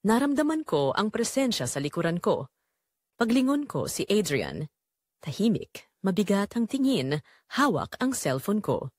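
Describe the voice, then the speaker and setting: Female audiobook narrator for romance drama. Lively, varied pitch, measured emotive delivery, very dry recording. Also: dry recording. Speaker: audiobook narrator reading a first-person romance story.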